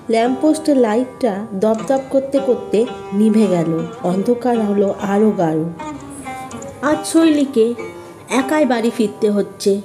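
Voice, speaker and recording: A woman narrating a scripted story as the storyteller. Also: fairly high pitch. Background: music.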